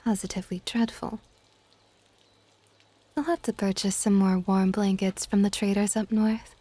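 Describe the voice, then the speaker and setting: A woman playing an older dragon goddess in an ASMR roleplay, soft-spoken and formal, stately and gently exasperated. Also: mid-range voice, flat delivery, normal speed, natural voice. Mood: gently scolding. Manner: soft-spoken, breathy asides, formal wording.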